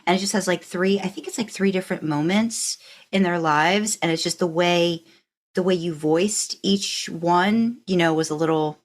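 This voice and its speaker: Woman, slightly low voice, podcast host, conversational, encouraging, halting as she rewords her thoughts. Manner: halting, thinks aloud, rephrasing questions; encouraging, affirming. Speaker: podcast host interviewing a guest. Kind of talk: casual conversational interview.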